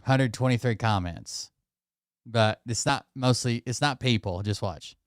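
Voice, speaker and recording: A man's voice: medium pitch, unhurried, clean tone, no echo, studio quality. A man from Alberta with an Albertan Canadian accent, giving casual, mocking reaction commentary. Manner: mocking, laughing, chatty. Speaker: commentary streamer.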